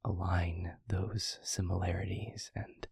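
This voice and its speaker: Male demon played by a man, introspective and tender, once arrogant. Husky, gravelly half-whisper, close and dry, for intimate audio roleplay. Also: low voice, flat delivery, a little mellow, no room sound, full character voice. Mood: wistful, reflective. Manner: slow, halting, reflective delivery.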